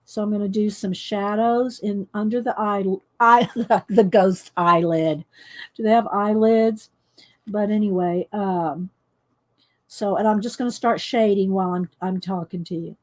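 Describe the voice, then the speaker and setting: A middle-aged woman with a loud voice that carries, chatting casually and rambling with frequent laughs while she colors for her viewers. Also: mid-range voice, fairly even intonation, a little slow. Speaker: coloring channel host.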